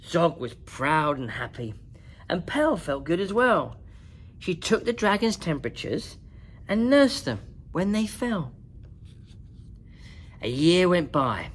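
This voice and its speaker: Slow, animated woman reading a storybook. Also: a bass voice. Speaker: school principal reading to children. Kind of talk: expressive picture-book narration.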